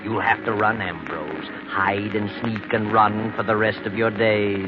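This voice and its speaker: A man's voice: fairly low pitch, fairly even intonation, measured pace, close to an everyday voice. An actor playing a sardonic, taunting narrator of a 1940s radio mystery, addressing the guilty man directly. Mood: gloating, mocking. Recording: muffled 1940s radio recording. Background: prominent music.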